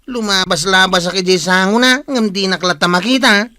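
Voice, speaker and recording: A man's voice: high-pitched, fairly even intonation, normal speed, pushed and bright. An actor playing a curious, teasing nephew with a Filipino accent, exclaiming his way through a comedic radio drama. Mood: puzzled.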